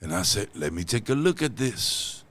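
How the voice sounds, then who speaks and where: An older man from the Dominican Republic, a Spanish speaker with a Dominican accent, hosting a reaction channel in halting English that often repeats words. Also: on the low side, sing-song, fairly bright tone, dry recording. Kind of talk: casual reaction vlog intro.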